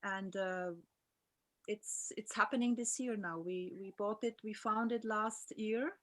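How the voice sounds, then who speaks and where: A woman's voice: medium pitch, fairly even intonation, measured pace, fairly bright tone. A woman guest speaking earnestly in short phrases on a video call, sharing plans with colleagues. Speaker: guest, aquatic therapy pioneer. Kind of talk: casual conversation on a video call.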